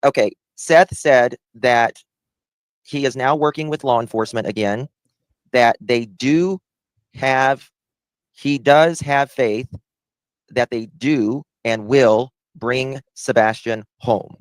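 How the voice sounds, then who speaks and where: A man's voice: medium pitch, slow pace, clear, resonant tone, dry recording. A man giving casual livestream commentary on audio he plays, with a blunt manner.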